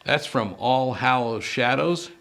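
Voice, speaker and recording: A man reading detective fiction aloud as an author, in a measured, deliberate storytelling manner. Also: medium pitch, a bit bright. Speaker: author reading from own book. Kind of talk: scripted narration, audiobook-style reading of a detective novel.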